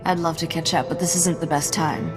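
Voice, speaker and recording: Actress as low-voiced, wry smuggler mechanic woman. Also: fairly quick, long reverb tail, over music, lightly acted. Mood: sardonic. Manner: dry, sardonic, self-assured. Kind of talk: video game cutscene acting.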